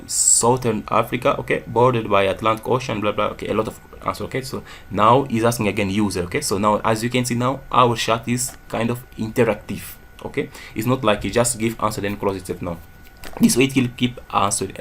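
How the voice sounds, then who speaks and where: Angolan man, gravelly low voice; screen-share coding tutor who checks in with "okay?" constantly. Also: a bit bright, slight background noise. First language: Portuguese. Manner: says "okay?" after nearly every phrase, thinks aloud while typing. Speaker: programming tutor.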